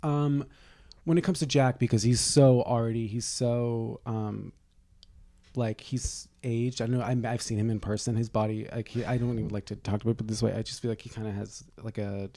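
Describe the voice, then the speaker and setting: Gravelly, mellow man joking around. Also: mid-range voice, fairly even intonation, normal speed. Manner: irreverent, gossipy, joking. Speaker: podcast co-host. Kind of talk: casual comedic banter.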